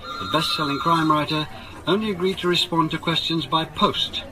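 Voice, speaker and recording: A man playing a formal television arts presenter, delivering a scripted introduction and question with deliberate authority. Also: fairly high pitch, fairly even intonation, background noise, natural voice.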